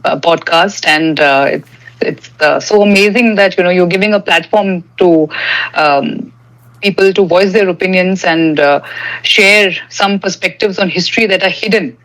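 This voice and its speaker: A woman from Delhi, answering as a podcast guest in a measured, explanatory way. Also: fairly low pitch, fairly bright tone, low-quality recording. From Delhi, India. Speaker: podcast guest, history author. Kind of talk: conversational interview answer.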